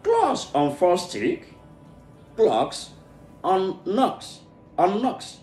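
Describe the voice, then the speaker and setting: A man from Nigeria with an Igbo accent, a native Igbo speaker, reads a tongue-twister storybook aloud, haltingly, stumbling over and repeating words. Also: sits high, wildly swooping pitch, darkish tone. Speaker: English pronunciation learner. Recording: echoey room, music behind.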